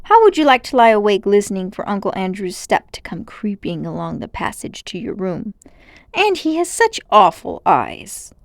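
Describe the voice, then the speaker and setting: A woman voicing an excitable, brooding and adventurous schoolboy in a storybook, speaking emphatically. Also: mid-range voice, normal speed, no echo, studio quality. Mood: dark, conspiratorial. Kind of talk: storybook character voice.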